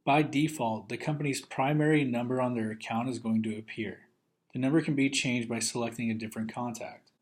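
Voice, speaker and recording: A man giving step-by-step instructional narration as a software trainer, in a methodical way. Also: medium pitch, fairly even intonation, fairly quick.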